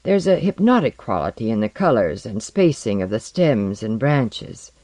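A narrator voicing a polite, grim male scientist with a measured, formal, restrained way of speaking. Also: medium pitch, sing-song, a little mellow, dry recording, voice pushed down, natural voice. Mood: uneasy, restrained.

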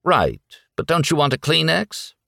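A man's voice: a little high, wildly swooping pitch, measured pace, gravelly, bright, forceful tone, no room sound, studio quality, voice pushed up, exaggerated voice. A man voicing a sardonic, morose companion who talks in a matter-of-fact, testy way in a story reading. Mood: mild.

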